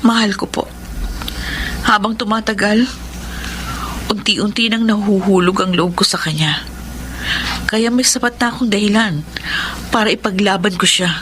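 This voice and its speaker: A woman's voice: mid-range voice, moderate pace, long pauses, a bit bright, a little hiss or hum, close to an everyday voice, low-quality recording. An actress playing a steadfast young woman in love in a Filipino radio drama, earnest and resolute.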